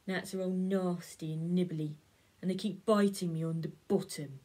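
A woman's voice: fairly even intonation, moderate pace, warm tone, lightly acted. A woman doing a grumpy, complaining cat. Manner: low, grumbling and complaining. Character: grumpy put-upon male cat.

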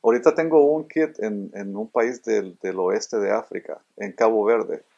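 A man's voice: fairly low pitch, a little slow, creaky, darkish tone, highs cut off. A middle-aged man from Honduras, a robotics engineer, answering interview questions earnestly and often repeating words as he looks for them, seated indoors among robots. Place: indoor room full of robots.

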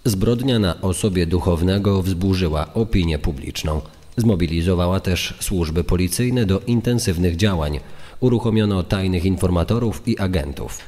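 A man's voice: deep voice, normal speed, a little mellow. A man reading scripted documentary narration as a second narrator. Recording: long reverb on the voice.

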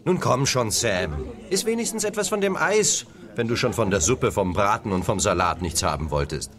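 A man's voice: on the low side, very animated, moderate pace. A man playing a caring, upbeat young hero in a children's audio drama. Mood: concerned. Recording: busy chatter behind the voice.

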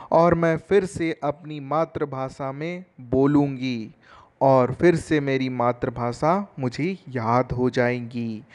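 Slow, deliberate male teacher. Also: mid-range voice, a little mellow. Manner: reads verse lines very slowly, then explains in a mix of Hindi and English. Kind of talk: line-by-line poem explanation. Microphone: voice-over over text slides.

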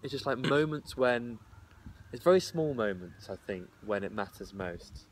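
A man's voice: medium pitch, expressive, moderate pace, a little hiss or hum. Young man, stammering, reflective, recorded outdoors by a far camera. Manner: thoughtful, stammers and repeats words. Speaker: discussion participant. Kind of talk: casual group discussion. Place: outdoors at a garden table.

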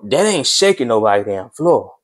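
A young man talking at an average pitch in a loose, chatty vlog, speaking to his viewers as "y'all". He is recorded on a camera in a home kitchen, with a light hiss in the background. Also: very animated, moderate pace. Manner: laid-back, chatty, addresses viewers as y'all. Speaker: vlogger. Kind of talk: casual vlog chat.

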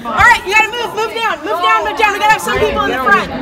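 High-voiced woman shouting from across a gym. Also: rapid-fire, over a noisy crowd. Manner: excited, bossy organizing. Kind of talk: casual chat. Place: large indoor gym. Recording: distant, echoey, noisy gym.

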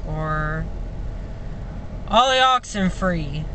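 Halting, slow-paced young man vlogging. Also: fairly high pitch, expressive, sharp tone, rough recording. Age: young adult. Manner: halting, broken phrases with long gaps. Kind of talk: casual vlog talk to camera. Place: inside a parked or standing car. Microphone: phone or camera at arm's length. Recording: clear vehicle and outdoor noise.

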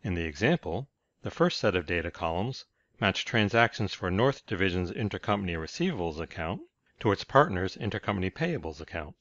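A man reading scripted software tutorial narration in a measured, instructional manner. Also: sits low, no room sound, highs cut off.

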